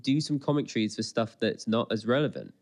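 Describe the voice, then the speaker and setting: A man's voice: medium pitch, expressive, a little fast. A man co-hosting a podcast in casual chat, rambling along and often interrupting himself. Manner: chatty, rambling, self-interrupting. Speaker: podcast co-host.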